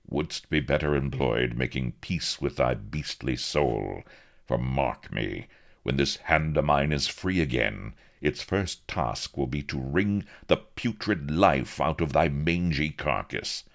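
A man's voice: extremely low pitch, lively intonation, takes it slowly, gravelly, exaggerated voice. A man voicing a haughty, stiff-necked captain who speaks in pompous, archaic phrases, indignant and grand. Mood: scornful.

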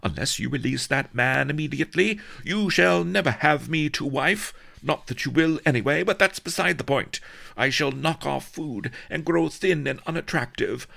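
Man putting on a high, haughty young lady. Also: animated, normal speed, creaky, sharp tone, full character voice. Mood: haughty, indignant. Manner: haughty, indignant, dramatic. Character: proud, vain, petulant young society woman.

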